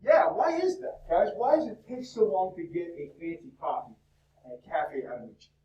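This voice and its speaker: A male instructor lecturing a university class in a lively, chatty way, peppering students with questions, his voice cracking. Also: high voice, moderate pace, lo-fi sound. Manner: talkative, upbeat, fires questions at the class. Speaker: business instructor. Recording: echoey classroom from far off.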